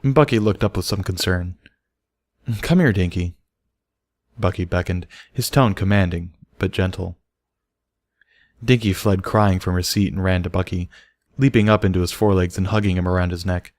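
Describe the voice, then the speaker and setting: A man's voice: deep voice, fairly even intonation, normal speed, no room sound, studio quality. A man reading scripted story narration as an audiobook narrator.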